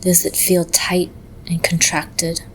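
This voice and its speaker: A woman leading a guided meditation in a gentle, measured way, leaving long pauses between phrases. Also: fairly low pitch, completely flat delivery, in a whisper, low-quality recording.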